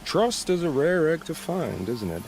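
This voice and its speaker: An actor playing a wry, philosophical, bloodthirsty bandit hunter in a video game, with a sardonic, musing, theatrical delivery. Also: male voice, fairly high pitch, sing-song, measured pace, a little mellow, a little hiss or hum. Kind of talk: video game dialogue acting.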